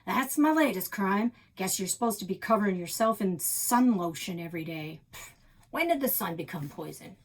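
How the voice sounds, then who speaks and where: A woman's voice: mid-range voice, animated, moderate pace. A woman voicing a wry, grumbling older grandfather with a folksy, joking manner, in a children's book read-aloud.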